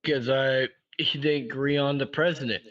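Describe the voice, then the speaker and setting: A stranger on a video chat, a man talking in a slow, offhand way and swearing casually. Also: medium pitch, fairly even intonation, a bit bright. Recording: muffled distant voice over a video call.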